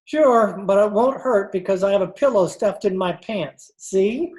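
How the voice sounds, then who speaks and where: A woman's voice: mid-range voice, a little slow, darkish tone, close to an everyday voice, poor audio. A woman playing a jovial, punning salesman in an old-time radio comedy. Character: chummy, punning wax salesman, male.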